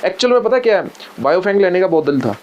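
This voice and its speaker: A man's voice: high voice, sing-song, fast, dull audio, a little hiss or hum. A man explaining things informally in a vlog chat, in a hands-on way, often checking that the listener follows. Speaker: walkie-talkie user explaining gear to the host.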